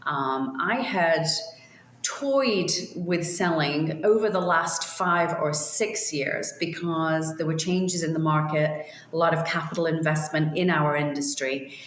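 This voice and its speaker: An older woman from Liverpool with a softened English accent, a business owner talking through her career in an interview, measured and thoughtful. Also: deep voice, a little hiss or hum. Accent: softened English, Liverpool roots. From Liverpool, England. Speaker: optical store owner, guest. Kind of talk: remote interview conversation. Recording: roomy echo.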